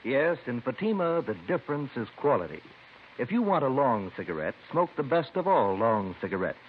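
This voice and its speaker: A man's voice: medium pitch, big pitch swings, a little fast. An American man reading a 1950s radio advertisement as announcer, with an emphatic, polished selling delivery. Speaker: commercial announcer. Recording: hissy, tinny old radio recording.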